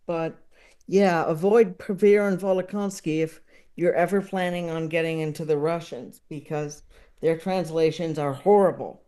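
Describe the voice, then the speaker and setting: A woman chatting casually as a podcast guest, enthusiastic and digressive, often halting as she works out her thought. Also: low-pitched, fairly even intonation, mellow. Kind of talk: casual chat about books and comedy.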